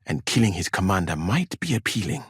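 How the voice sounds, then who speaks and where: A courteous, scholarly man of the medieval world. His voice is fairly low and very husky, with formal, measured phrasing. The recording is dry and close, as game dialogue is. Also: big pitch swings, no room sound, full character voice. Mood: composed, courteous. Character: learned, courteous medieval man from Mali, well-travelled scholar. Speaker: video game character.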